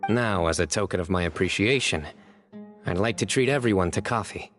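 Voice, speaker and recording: A composed young man of authority in a video game. The voice sits fairly low over soft music. The phrasing is measured, courteous and a little dry. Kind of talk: English video game voice acting.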